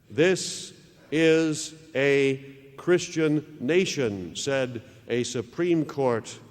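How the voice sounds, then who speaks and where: This is a male pastor preaching with fervor, his pitch leaping and falling as he lingers on each phrase. His voice carries a long hall reverb, as if from a church sanctuary. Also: a little mellow. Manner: impassioned, dramatic swings in pitch and volume. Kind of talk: televised sermon.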